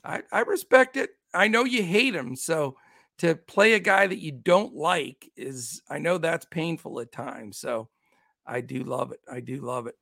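A man with a gravelly, fraying voice runs through numbers at a chatty clip. His voice sits a bit high, and the sound is dry and close. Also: expressive, sharp tone, no room sound. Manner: rattles off numbers quickly, chatty. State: losing his voice. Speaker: podcast host. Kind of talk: casual sports betting podcast talk.

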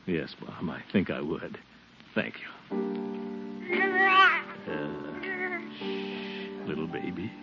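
Deep-voiced American man, sentimental middle-aged uncle, wide swooping delivery, earnest, old radio comedy. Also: expressive, slow pace, vocal fry, long pauses, loud music behind the voice, full character voice. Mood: subdued, tender. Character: big-hearted, sentimental middle-aged uncle, a household head. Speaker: lead actor in a radio comedy. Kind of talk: 1940s radio acting. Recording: muffled, noisy 1940s radio recording.

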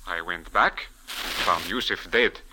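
A man's voice: low-pitched, measured pace. A male actor playing a courteous, formal Egyptian stable keeper in a 1940s radio drama. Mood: insistent. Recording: noisy old radio recording.

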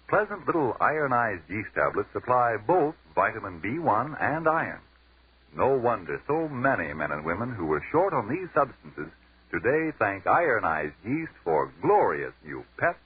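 A man announcing in solemn, measured 1940s radio style. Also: medium pitch, huge pitch swings, husky. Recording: tinny 1940s radio broadcast with hiss.

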